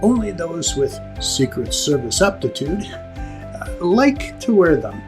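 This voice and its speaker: A man's voice: a little high, sing-song, takes it slowly, music in the background. A man presenting an instructional lesson to viewers in a conversational, emphatic way, like a director explaining the basics.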